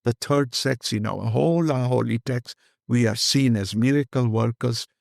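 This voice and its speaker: An older man with an Indian accent talks in a rambling, heartfelt way, dropping Hindi phrases into his English. His voice has a gravelly edge, and the recording is dry and close. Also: medium pitch, normal speed, no room sound. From Kenya. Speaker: guest. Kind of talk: candid conversational interview.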